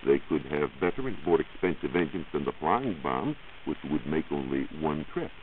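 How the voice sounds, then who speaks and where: A hissy, narrow old radio recording of an American man with a very low voice. He explains science as a reporter would on a 1940s program, in measured, formal phrases with lively rises and falls. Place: radio studio.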